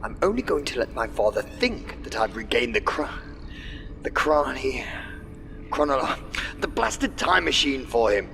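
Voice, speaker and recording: A man's voice: mid-range voice, sing-song, a little slow, gravelly, sharp tone, soft background music, full character voice. A man playing a scheming, panicky young wolf heir, whiny, blustering and stammering, in a sci-fi audio drama.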